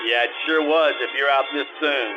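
A man's voice: medium pitch, steady tone, a little fast, highs cut off, over music, natural voice. Man acting a sardonic hard-boiled private eye. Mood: wry. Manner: sardonic, slangy, terse. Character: hard-boiled, wisecracking male private detective. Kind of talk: old-time radio detective drama acting.

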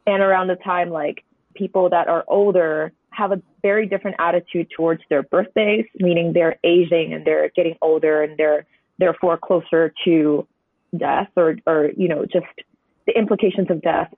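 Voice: medium pitch, fairly even intonation, normal speed, dry recording. Painter over a tinny line. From Hong Kong. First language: Cantonese. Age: 20s. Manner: reflective, meandering, many hedging asides. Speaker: painter, interview guest. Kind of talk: conversational podcast interview. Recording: tinny, dry phone-line audio.

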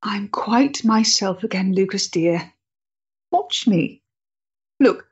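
Actress as proud Victorian woman, period drama, slow measured delivery, breathy rasp, muffled recording. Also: mid-range voice, lively intonation, exaggerated voice. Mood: tender, unsteady. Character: proud feminist former nurse, emotionally fragile, in love, 1890s. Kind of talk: period stage drama acting.

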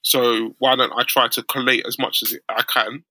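A man from the UK, a guest on a podcast, explaining things in a plain, measured way. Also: medium pitch, level tone, pushed and bright, no echo, low-quality recording. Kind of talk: casual interview chat.

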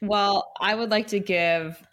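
A woman's voice: mid-range voice, fairly even intonation, unhurried, fairly bright tone. A woman podcast host narrating a script at a table read and chatting casually.

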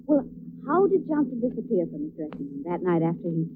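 A woman's voice: lowish voice, very animated, fairly quick. An actress playing a detective's inquisitive lady companion in 1940s radio drama style, genteel and questioning. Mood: curious. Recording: noisy, tinny old radio recording.

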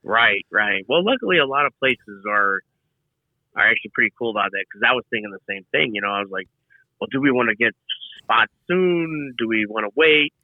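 A man as a podcast guest, chatting casually in a relaxed, rambling way with many fillers. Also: mid-range voice, a little fast, no room sound, phone-quality sound. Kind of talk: casual chat.

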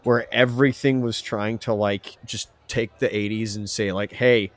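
A man's voice: lowish voice, fairly even intonation, moderate pace. A man co-hosting a casual music discussion podcast, ranting with strong opinions and mild stand-in swear words. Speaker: podcast co-host.

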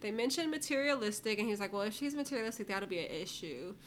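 A woman's voice: mid-range voice, lively intonation, fast talker, a little hiss or hum. A woman co-hosting a casual recap show, informal and slangy, retelling what others said in mock quotes. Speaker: co-host of a reality TV recap show. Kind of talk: casual chatty recap commentary.

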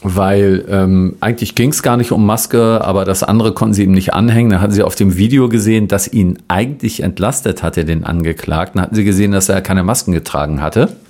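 A man with a low-pitched voice talks casually as an interviewer and reporter. He rambles along conversationally, with a dry, ironic edge to his remarks. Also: fairly quick, hardly any pauses, a little mellow.

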